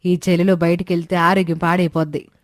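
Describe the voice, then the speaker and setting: A woman's voice: on the low side, little pitch movement, a little fast, no echo, studio quality, lightly acted. A woman playing a fretful older village mother and wife in a family story. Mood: worried. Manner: fretful, lamenting. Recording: music bed under the voice. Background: background music.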